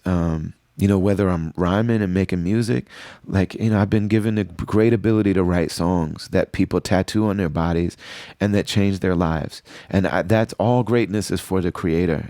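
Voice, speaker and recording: A man's voice: deep voice, fairly even intonation, normal speed, dark tone, no echo, studio quality. A middle-aged man hosting a podcast, talking through a personal reflection on his own in an earnest way, often repeating himself and asking "you know what I'm saying.". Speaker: podcast host. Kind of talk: reflective solo monologue.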